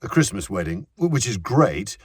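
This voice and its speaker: A man's voice: on the low side, big pitch swings, moderate pace, breathy edge, dry recording, clean studio sound, pitched above the performer's own voice. A man voicing a charming young male theatre director who talks in a casual, matey way with many fillers. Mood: apologetic.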